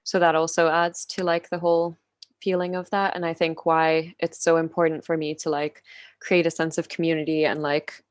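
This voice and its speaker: A woman in her twenties from Finland, a podcast guest talking casually on a video call, soft-spoken with many "like" fillers and frequent giggles. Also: sits low, little pitch movement, normal speed, clear, resonant tone, no echo. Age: 20s. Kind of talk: casual interview chat.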